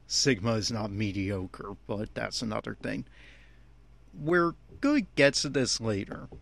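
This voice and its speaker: A man giving opinionated, complaining episode commentary as a host, with emphatic, halting phrasing. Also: medium pitch, fairly bright tone, dry recording. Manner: exasperated, complaining. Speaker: fan podcast host.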